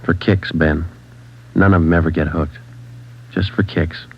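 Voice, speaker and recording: An actor playing a terse police sergeant who narrates in a flat, clipped, matter-of-fact way, in a 1940s radio drama. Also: male voice, deep voice, a little slow. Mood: weary. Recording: dull old radio broadcast.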